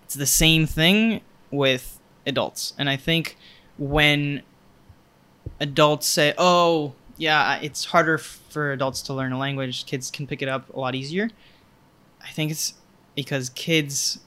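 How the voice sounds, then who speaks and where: Bright, clear-voiced young man. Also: on the high side, a little slow. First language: Spanish. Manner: relaxed, thoughtful, explaining with examples. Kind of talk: casual conversation.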